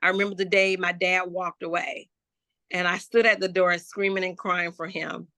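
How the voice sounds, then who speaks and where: A woman from Florida shares her personal testimony as an interview guest. Her voice is bright and forward, and she speaks slowly and thoughtfully, often pausing and restarting. The sound is close and dry. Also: lowish voice, level tone, no echo. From Jacksonville, Florida. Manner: slow, reflective, with many restarts and fillers. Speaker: gospel hip-hop artist guest.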